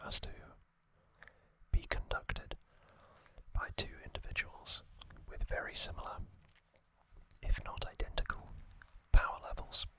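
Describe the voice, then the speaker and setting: A man whispering trivia softly for an ASMR video, close to the microphone, with frequent ums and uhs. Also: unhurried, rough recording. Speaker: ASMR channel host.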